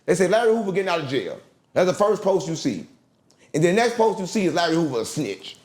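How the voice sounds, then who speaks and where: A man talking as an interview guest in casual, rambling chat, animated and repeating his catchphrases. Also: sits high, quick pace.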